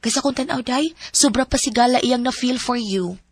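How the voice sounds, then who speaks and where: Woman for a radio play friend role; blunt, teasing advice, slightly high, husky voice. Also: normal speed, dry recording, studio quality. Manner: frank, teasing, advice-giving. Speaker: supporting character, the lead's friend. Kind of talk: radio drama acting.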